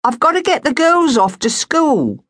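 A woman voicing a cheerful poor young London girl, bright and sing-song. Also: sits high, big pitch swings, moderate pace, no room sound, clean studio sound, pitched above the performer's own voice.

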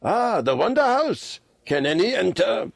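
A man voicing an old Tibetan lama, a gentle, earnest and humble wanderer in a storybook reading. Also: sits high, very animated, a little slow, vocal fry, no echo, clean studio sound, pitched above the performer's own voice, heavily acted. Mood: wondering.

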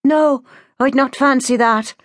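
A defiant young woman as a female narrator plays her speaks up in a high, animated voice. She is blunt and firm in this storybook role, recorded dry and close. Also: takes it slowly, dry recording. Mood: polite refusal. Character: defiant, outspoken young woman of twenty-one.

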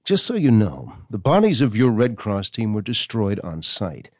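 Stern official doctor type, formal and measured, kinda condescending, audio drama, dry studio sound. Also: male voice, on the low side, huge pitch swings, darkish tone, no room sound. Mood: stern, reluctant. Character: stern, formal male government doctor answering by email. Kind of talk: audio drama acting, reading out correspondence.

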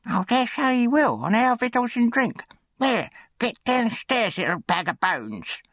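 A shrill, bossy middle-aged shopkeeper's wife from a storybook, pitched very high and swooping with contempt. She scolds and orders people about, close and dry on the recording. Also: sing-song, a little slow, fairly bright tone, dry recording, full character voice. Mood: scornful scolding. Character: harsh, scornful middle-aged undertaker's wife, shrill and domineering.

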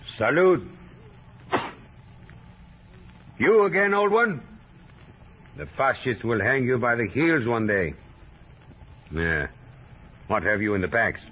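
An actor playing a wary, surly guerrilla leader worn down by being hunted, in vintage radio drama style. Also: male voice, medium pitch, animated, unhurried, pauses often, a bit bright, breathy edge. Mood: suspicious. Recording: muffled old radio drama recording.

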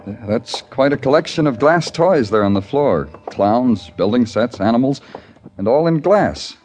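An actor playing a hard-boiled police lieutenant who narrates a 1950s radio crime drama, with a dry, wisecracking delivery. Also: male voice, mid-range voice, expressive, normal speed, a little mellow, natural voice. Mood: stern. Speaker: narrator and lead.

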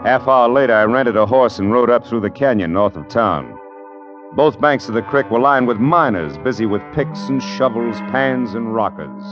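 An American actor playing a steady, wry frontier man in an old radio western, measured and dry, sometimes narrating his own tale. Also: on the low side. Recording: muffled vintage radio recording with music.

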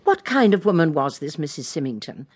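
A shrewd old English lady detective for a radio play. Her voice is on the low side, with a husky edge and lively intonation. She is measured and precise, quietly probing as she narrates and questions. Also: no echo, full character voice. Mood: inquisitive. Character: shrewd elderly amateur sleuth Jane, calmly inquisitive narrator. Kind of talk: dramatised audiobook acting and narration.

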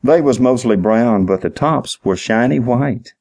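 A man's voice: medium pitch, unhurried, vocal fry, darkish tone, pitched above the performer's own voice. A man voicing a dim-witted, eager hotel night manager in his sixties, with folksy, literal speech in an audiobook story. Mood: dim, helpful.

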